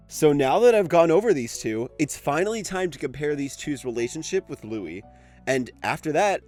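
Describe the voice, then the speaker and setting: A man with a slightly high, very animated voice delivers a video essay. His commentary is informal and emphatic, with light music behind him. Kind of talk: scripted commentary on an anime, conversational.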